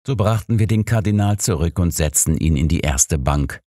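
A man's voice: sits low, lively intonation, moderate pace, warm tone, no echo, clean studio sound. A man reading a scripted first-person story as an audiobook narrator.

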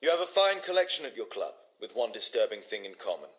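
A shrewd gentleman detective of Victorian London. He speaks in formal, articulate, probing phrases with composed authority, though the melody of his speech moves widely. It is dialogue for a video game. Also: lowish voice, big pitch swings, quick pace.

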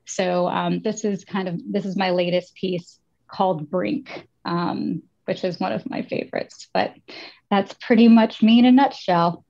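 A woman's voice: fairly low pitch, steady tone, unhurried, a little mellow, lo-fi sound. A woman casually presenting her paintings on a video call, often saying "like". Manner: casual, with frequent "like". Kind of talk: online slide presentation. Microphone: laptop webcam video call.

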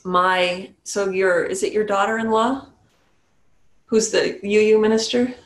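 A woman's voice: mid-range voice, fairly even intonation, reverberant. A woman from New Hampshire who is a Zen priest and meditation teacher, talking thoughtfully about her own story on a video call from a small home room. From New Hampshire, USA. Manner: thoughtful, unhurried, with small restarts and soft laughs. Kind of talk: reflective personal sharing on a video call. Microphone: webcam on a video call.

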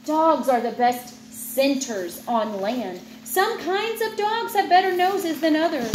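A woman in her thirties reads a children's book aloud in a high, very lively voice. She takes her time and slips into chatty asides with a child. The recording is made in a roomy, echoey space at home. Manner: expressive, teacherly reading that breaks off into playful asides. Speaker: parent reading aloud to a child. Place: indoors in an open room at home with high ceiling. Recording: roomy home recording with clear background noise.

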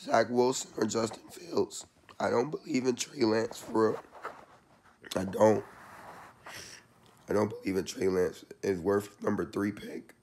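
A young man talks loosely and casually, his voice hoarse and worn down. His pitch sits a little low, and he speaks close to a laptop webcam in a small room. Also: steady tone, unhurried, long pauses, darkish tone. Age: young adult. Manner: loose and chatty, slangy. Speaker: sports channel vlogger. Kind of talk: casual vlog update.

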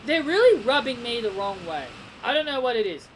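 A young man giving a casual wrestling review from his bedroom, excitable and repeating himself with stammering restarts, recorded on a desk webcam. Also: extremely high pitch, a little fast. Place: small bedroom. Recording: noisy home webcam recording.

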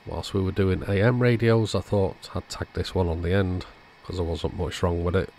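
A man calmly narrating a radio repair at his workbench in a matter-of-fact way. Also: low-pitched. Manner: relaxed, matter-of-fact commentary while working. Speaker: electronics repair channel host. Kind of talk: narrated bench repair tutorial. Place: workbench indoors.

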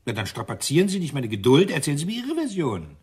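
An actor playing a gruff, sarcastic, impatient police inspector in a German radio crime drama. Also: male voice, fairly high pitch, wildly swooping pitch, fast talker, a little mellow, heavily acted. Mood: sardonic, menacing. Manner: sardonic, gruff.

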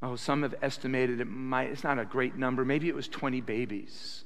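An older man delivers a sermon from a church pulpit into a stand mic. His voice sits at a middle pitch, and his delivery is animated, swinging from quiet remarks to loud emphatic quotes. The room behind him rings with a long, hall-like reverb. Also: normal speed. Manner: animated preaching with sudden loud acted quotes. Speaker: pastor. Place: church sanctuary stage at a pulpit.

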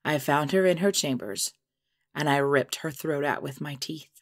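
A woman playing a proud, menacing supernatural mother in an audio drama, speaking in a formal, measured, deliberate way. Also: low voice, no room sound, clean studio sound. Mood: cold, proud.

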